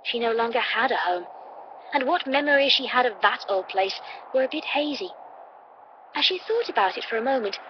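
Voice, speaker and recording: Woman, scripted story narration, audiobook reader, muffled sound, clear background noise. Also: high voice. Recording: dull, noisy playback through computer.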